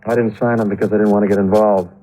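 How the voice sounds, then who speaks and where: An actor playing a jittery studio sound engineer who talks in a nervous, apologetic, hurried way in a 1950s radio drama. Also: male voice, fairly low pitch, little pitch movement, dark tone, soft background music, lightly acted, low-quality recording. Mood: uneasy.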